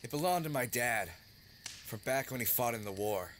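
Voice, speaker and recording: An actor playing a gruff, protective young villager with a blunt, brusque way of talking, in an audio drama. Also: male voice, medium pitch, animated, moderate pace, fairly bright tone, slight background noise, lightly acted. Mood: gruff, casual.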